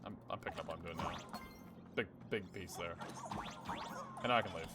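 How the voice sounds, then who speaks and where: A man's voice: low-pitched, a little fast. A young man casually commenting on his gameplay, thinking aloud, swearing and chuckling often. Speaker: game streamer. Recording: game music behind.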